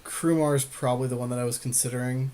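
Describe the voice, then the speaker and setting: A man's voice: medium pitch, fairly even intonation, fast, mellow. A man chatting casually as co-host on a card game draft, giving agreeable and often brief responses.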